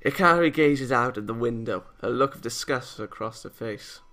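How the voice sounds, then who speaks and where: A man reading a visual novel aloud as a let's-play narrator, expressive and theatrical, with game music behind him. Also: medium pitch, normal speed.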